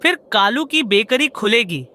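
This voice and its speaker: A woman's voice: fairly high pitch, expressive, measured pace, sharp tone. A woman voicing a jealous, scheming male crow who bosses his sidekick around. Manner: bossy, gruff scolding.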